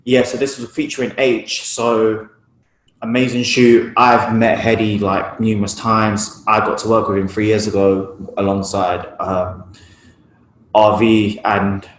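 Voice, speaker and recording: A man's voice: on the low side, level tone, a little slow, a little hiss or hum. A young man with a London accent talking casually and informally about his work as a photographer in an interview. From East London, UK. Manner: informal, slangy. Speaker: guest photographer. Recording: roomy, echoey room sound.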